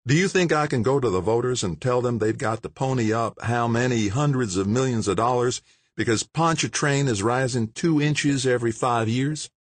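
A man voicing a sharp, dismissive woman mayor who snaps curtly. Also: mid-range voice, moderate pace, no echo, voice pushed up, natural voice. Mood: cold, dismissive. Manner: snappish, curt.

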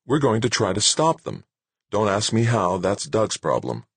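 Man voicing a brisk woman boss, raised pitch. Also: very animated, husky, no room sound. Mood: patient, earnest. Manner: plain-spoken, patient but firm. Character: brisk, good-natured, firm woman base director. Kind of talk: acted audiobook dialogue.

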